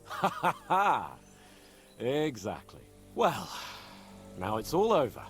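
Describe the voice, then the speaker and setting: An actor playing a boastful, weary young nobleman in a game, with an animated, theatrical delivery. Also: mid-range voice, slow pace, heavily acted. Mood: jovial. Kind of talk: game voice acting. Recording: game audio under faint music.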